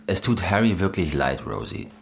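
A man's voice: deep voice, very animated, moderate pace, vocal fry, exaggerated voice. A man acting a conciliatory, reasonable peacemaker in a German radio drama. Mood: placating. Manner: placating, reasonable. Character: conciliatory cousin trying to smooth over a quarrel.

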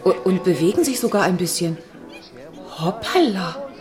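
An actress playing an amused, nosy party guest in a radio play, watching others. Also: female voice, on the low side, expressive, normal speed, music in the background, natural voice.

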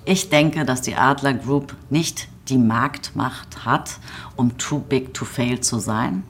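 A woman answering interview questions as a politician, in a guarded, halting and careful manner. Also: a bass voice, slight background noise. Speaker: government politician being interviewed.

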